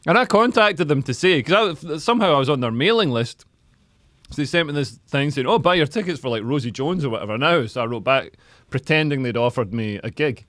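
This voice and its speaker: A Scottish comedian and podcast host chatting casually, rambling with lots of "like" and laughing often. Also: male voice, mid-range voice, animated, brisk, fairly bright tone, dry recording, studio quality. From Scotland. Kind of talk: casual chat.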